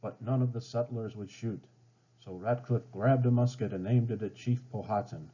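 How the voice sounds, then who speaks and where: A man with a low, husky voice narrates a children's storybook. His reading is expressive and dramatic, on a slightly dull recording. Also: normal speed. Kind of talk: storybook read-aloud narration. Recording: slightly dull, band-limited sound.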